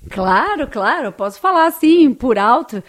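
A woman's voice: high voice, big pitch swings, normal speed. A woman with a Brazilian Portuguese accent, a guest answering interview questions in an earnest, enthusiastic way that is often tagged with little questions.

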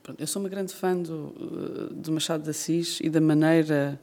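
A woman's voice: sits low, steady tone, brisk, dark tone. Woman, guest writer, reflective and playful, speaks on stage. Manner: thoughtful, playful, laughs often. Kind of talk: reflective conversation. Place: cultural centre stage before an audience.

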